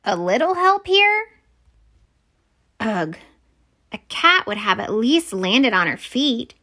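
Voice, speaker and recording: A woman voicing an exasperated but eager young girl witch in a children's picture book, expressive and exclaiming. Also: fairly high pitch, slow pace, exaggerated voice. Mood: frustrated.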